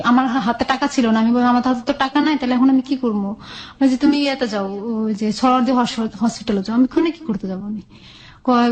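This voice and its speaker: A woman's voice: fairly high pitch, darkish tone, rough recording. A woman with a Bangladeshi accent telling her own life story in an interview, colloquially, often recounting conversations as dialogue. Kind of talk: candid personal storytelling in an interview.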